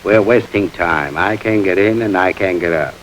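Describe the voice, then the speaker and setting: An actor playing a surly, hot-tempered gangster who talks in a blunt, sneering, resentful way, in 1930s crime film style. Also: male voice, low-pitched, moderate pace, a little hiss or hum, close to an everyday voice, low-quality recording.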